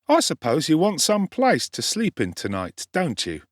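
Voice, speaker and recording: A man's voice: on the high side, wildly swooping pitch, moderate pace, no echo, clean studio sound, pitched above the performer's own voice. A man voicing a cocky, streetwise teenage London boy with a Cockney accent and slangy swagger in an audiobook. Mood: brisk, probing. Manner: swaggering, slangy, self-assured. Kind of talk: dramatic audiobook character acting.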